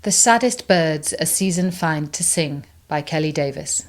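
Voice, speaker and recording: Female poetry reader, lowish register, slow, measured phrase-by-phrase delivery. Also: lively intonation. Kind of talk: poetry recitation.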